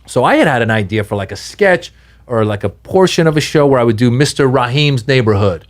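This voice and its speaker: A middle-aged man from Iran, telling long stories in a casual podcast chat and jumping up in pitch when he gets excited. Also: brisk. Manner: animated storyteller, pitch jumps high when excited. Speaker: guest comedian.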